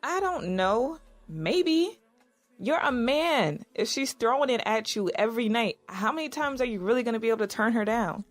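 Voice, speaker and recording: Sharp-tongued woman in love, music behind an actress. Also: on the high side, animated, moderate pace, lightly acted. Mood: skeptical, jealous. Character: sharp-tongued, jealous, caring married lover. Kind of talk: naturalistic audio drama dialogue.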